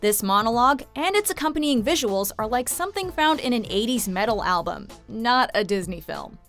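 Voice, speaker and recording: Young woman, highish animated voice, scripted countdown voice-over, light music behind. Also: fairly quick. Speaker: countdown host. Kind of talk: scripted video countdown narration. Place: studio voice-over.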